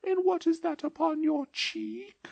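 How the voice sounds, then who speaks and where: A woman voicing a gentle, otherworldly ghost of the past in an audiobook dramatization, speaking slowly and solemnly. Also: very high-pitched, steady tone, gravelly, no echo, heavily acted. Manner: slow, solemn and mild.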